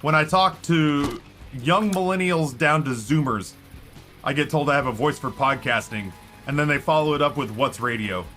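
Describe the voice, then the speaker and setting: A middle-aged man chats away over his own game stream, his voice sitting a little higher than most men's. He rambles and pokes fun at himself. Game music plays clearly behind him the whole time. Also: moderate pace, pushed and bright. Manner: rambling, self-deprecating, talks nonstop. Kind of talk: casual chat while playing a video game.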